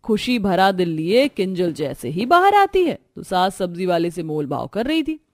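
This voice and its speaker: A woman's voice: fairly low pitch, sing-song, normal speed, darkish tone, no echo, clean studio sound. A woman storyteller reading scripted, dramatic narration for a family cartoon.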